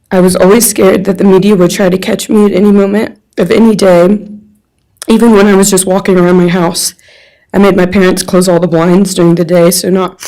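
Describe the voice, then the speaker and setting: Young woman, mid-pitched with noticeable vocal fry. Reads a written personal statement to a courtroom. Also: mellow, poor audio. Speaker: friend reading a victim's statement on their behalf.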